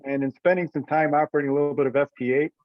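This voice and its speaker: A man's voice: fairly high pitch, steady tone, very fast, dry recording. A man talking casually in an online meeting, thinking aloud hesitantly as he goes through rules as an organizer. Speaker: event organizer presenting rules. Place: online teleconference call. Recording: dull lo-fi teleconference audio.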